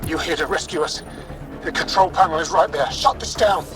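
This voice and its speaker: An actor playing a fierce, vengeful alien prisoner in a video game, speaking in an urgent, forceful way. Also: male voice, fairly high pitch, fairly quick, over music, lightly acted. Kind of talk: scripted video game acting.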